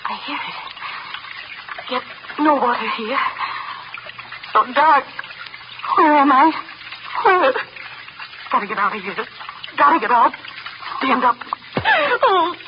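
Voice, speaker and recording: A woman's voice: sits high, expressive, slow pace, long pauses. An actress playing a guilt-ridden, panicked woman killer in breathless, clipped fragments, in 1930s radio horror style. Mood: desperate. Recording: muffled, noisy 1930s radio recording.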